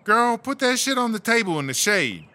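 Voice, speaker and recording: A man's voice: very high voice, sing-song, moderate pace, background noise. A man playing a sassy, bossy female inmate, talking in emphatic slang in a comedic audio drama. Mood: bossy, inviting. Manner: slangy, emphatic, self-promoting.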